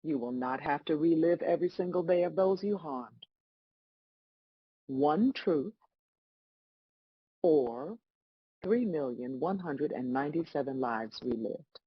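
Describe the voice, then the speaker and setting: A woman playing God as a stern, composed judge, delivering measured, scolding lines in a dramatic script read. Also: low voice, animated, husky, no room sound, muffled recording, exaggerated voice. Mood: firm, measured.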